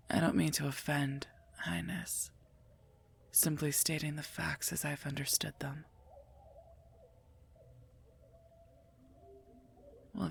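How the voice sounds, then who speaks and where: A woman voicing a sardonic female werewolf knight and mercenary bodyguard in an ASMR roleplay, husky and gravelly, dry and curt. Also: low-pitched, heavily acted. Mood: mocking, disdainful. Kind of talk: scripted ASMR roleplay, addressed to listener.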